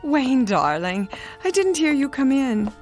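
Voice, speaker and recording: A woman's voice: high voice, big pitch swings, measured pace, a little mellow, soft background music. An actress playing a vain, stubborn, hard-of-hearing woman who runs a cosmetics company, imperious and theatrical, in a radio drama. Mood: flirty, distracted. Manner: imperious, theatrical, mishears people.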